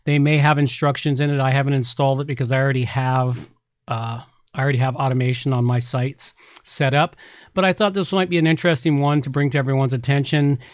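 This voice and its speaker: A man's voice: mid-range voice, steady tone, quick pace, dry recording. A man co-hosting a podcast, reviewing products in a casual, chatty, folksy way. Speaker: podcast co-host.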